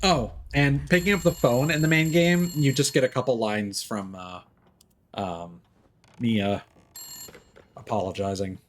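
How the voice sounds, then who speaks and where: A man's voice: medium pitch, steady tone, a little slow, long pauses, slight background noise. A man giving casual, dry live commentary while streaming a video game.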